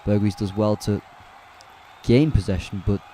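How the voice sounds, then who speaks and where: A man calling a football match live on a fan watch-along stream, chatty and relaxed, correcting himself as he goes and answering viewers' chat. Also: lowish voice, a little slow, a little hiss or hum. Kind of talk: casual live play-by-play commentary.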